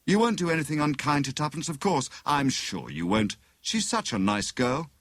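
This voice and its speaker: A man's voice: fairly high pitch, big pitch swings, normal speed, a bit bright, no echo, studio quality, pitched above the performer's own voice. A man voicing a cheerful, flippant young adventurer in an audiobook, bantering breezily. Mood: affable. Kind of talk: dramatised audiobook dialogue.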